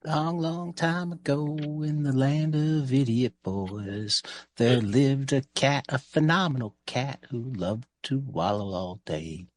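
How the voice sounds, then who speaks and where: Man, game player, casual in-character chat, offhand, joking, mid-pitched, dry recording. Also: takes it slowly, no echo. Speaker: role-playing game player. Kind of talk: casual in-character table talk.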